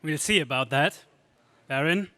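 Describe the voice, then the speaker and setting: An actor playing a brave, decisive young hero in an earnest, stagey 1930s space radio serial style. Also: male voice, a little high, a little slow, bright, forceful tone, no room sound. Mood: brisk, steady.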